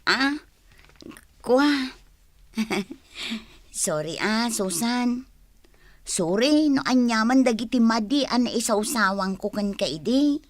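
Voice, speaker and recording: An actress playing a meek, apologetic neighbour in a comic radio drama, speaking mildly and hesitantly with a Filipino accent. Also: female voice, fairly high pitch, animated, slow, long pauses, dry recording. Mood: hesitant, sheepish. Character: meek, apologetic woman neighbour who shuns quarrels.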